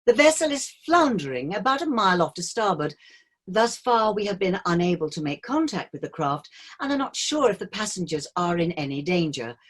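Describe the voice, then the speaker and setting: A woman's voice: medium pitch, sing-song, fairly quick. A woman playing an unruffled cruise ship captain in a staged play, making a courteous official announcement. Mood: formal, calm.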